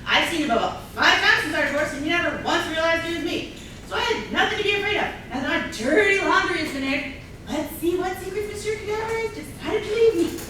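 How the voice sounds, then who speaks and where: A scorned, scheming ex-wife played by a woman in a stage comedy, indignant and gloating. The voice is very high and lively, heard from a distance in a very echoey room. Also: fast talker, heavily acted. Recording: distant mic, echoey room, faint noise.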